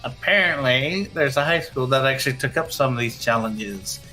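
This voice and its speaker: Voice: mid-range voice, lively intonation, moderate pace, bright, forceful tone, faint music behind, rough recording. A livestream companion talking in casual chat.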